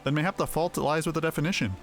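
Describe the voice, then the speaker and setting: A man's voice: fairly high pitch, steady tone, fast talker, close to an everyday voice. A man voicing a scholarly, irritable male mage from a fantasy game, wordy and pedantic. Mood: pedantic, grave. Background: faint music.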